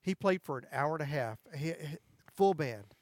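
Man, high tenor, folksy chatty storyteller, chuckling, dry close sound. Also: animated, normal speed, no echo. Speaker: country music singer and guest. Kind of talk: casual interview chat.

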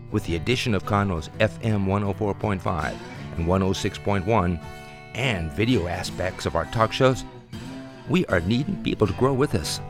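Low voiced guy doing a radio station promo, steady read, guitar playing behind. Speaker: station announcer. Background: clear guitar music.

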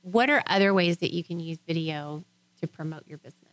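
A woman's voice: fairly low pitch, fairly quick, no room sound, poor audio. A woman hosting a radio talk show, introducing guests and prompting them with frequent "mm-hmm"s. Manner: steady backchanneling, frequent "mm-hmm". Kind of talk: radio interview.

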